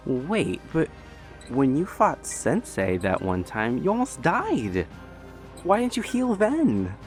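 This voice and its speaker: A man plays a naive, excitable young doctor. His pitch leaps wildly, and he speaks slowly with earnest, stammering hesitation, music underneath. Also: heavily acted. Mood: probing. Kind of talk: sci-fi audio drama acting.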